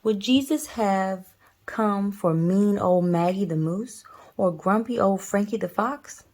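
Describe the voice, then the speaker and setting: Storybook bear cub, young female, curious and tender, mellow tone played by a woman. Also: mid-range voice, slow, lightly acted. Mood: earnest.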